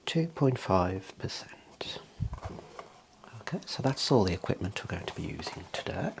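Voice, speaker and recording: A man speaking softly and breathily, often dropping into a whisper, playing an eye clinician in a gentle, reassuring ASMR role play. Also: sits low, vocal fry, a little hiss or hum. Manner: gentle, procedural, reassuring instructions.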